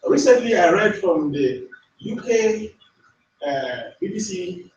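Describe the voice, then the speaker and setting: An African man, not a native English speaker, makes an emphatic, rhetorical point from the floor of a university conference. His pitch rides high and lively. He sounds distant and echoey, picked up from across the hall. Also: takes it slowly, dull audio, slight background noise. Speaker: audience member asking a question. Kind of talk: conference Q&A question. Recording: echoey lecture hall, far from mic.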